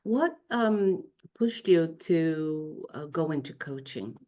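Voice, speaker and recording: A woman's voice: deep voice, expressive, slow, warm tone. A woman hosting an interview, politely introducing her guest and asking questions. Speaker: interviewer and host. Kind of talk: hosted interview. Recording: dull lo-fi sound.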